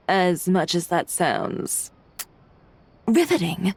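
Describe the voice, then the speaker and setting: Sardonic, poised mid-pitched woman. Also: expressive, drawn-out words, husky, heavily acted. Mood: dry, teasing. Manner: dry, teasing. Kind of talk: video game dialogue.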